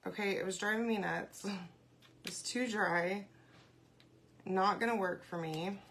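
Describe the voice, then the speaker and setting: A woman hosting a casual craft live stream, congested and often clearing her throat, chatting and greeting viewers by name. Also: mid-range voice, measured pace, a bit bright, a little hiss or hum.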